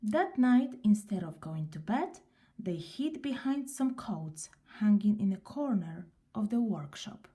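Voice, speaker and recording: Woman, children's storyteller, lively swooping pitch, mellow tone, expressive, unhurried. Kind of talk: children's story read aloud.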